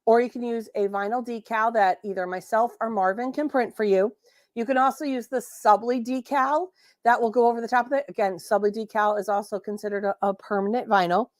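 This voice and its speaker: A hoarse woman hosting a casual livestream crafting tutorial, sassy and chatty, often restarting her sentences. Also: a little high, no echo. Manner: sassy, chatty, self-correcting. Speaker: host.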